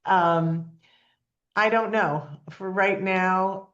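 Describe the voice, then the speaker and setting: A woman's voice: medium pitch, steady tone, drawn-out words, dull audio. A woman in her seventies, a nonprofit founder talking as a guest in an earnest, plainspoken way with frequent ums. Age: 70s. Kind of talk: casual interview talk.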